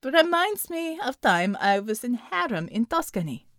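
A woman's voice: a little high, very animated, measured pace, a bit bright, no echo, studio quality, full character voice. Lady doing a jokey old russian soldier guy, broken english, boastful, audiobook character. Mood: jocular.

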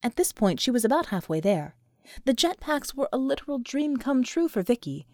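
Expressive woman narrating fiction, lively pitch. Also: moderate pace, no room sound, clean studio sound. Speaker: audiobook narrator.

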